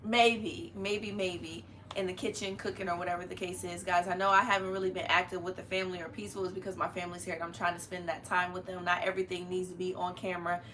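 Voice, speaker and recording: A woman's voice: fairly low pitch, little pitch movement, fast, few pauses, fairly bright tone. A young woman hosting a family live stream in a chatty, familiar, slangy way from a living room. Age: young adult. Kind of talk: casual live-stream chat. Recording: noticeable room noise.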